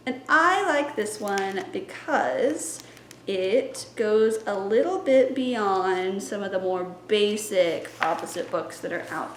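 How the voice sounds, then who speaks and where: A young woman with a high, bright voice talks in a chatty, upbeat, teacherly way. She sounds like she is in her twenties. Her voice echoes around a roomy space, with faint noise underneath. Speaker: library science student and story time planner. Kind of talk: casual teaching vlog. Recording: echoey room.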